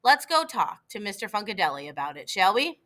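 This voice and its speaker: Woman reading as a kindly, bright-voiced male schoolteacher. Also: medium pitch, sing-song, fairly quick. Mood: calm, kindly. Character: kindly, patient male schoolteacher and baseball coach.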